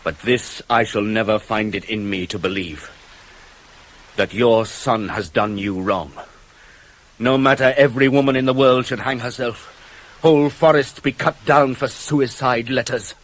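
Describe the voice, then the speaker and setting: Male, anguished servant bearing dire news in classical radio tragedy played by an actor. Unhurried, intense, declamatory, mid-pitched. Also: close to an everyday voice. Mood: grieving, solemn. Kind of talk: theatrical verse recitation for radio drama.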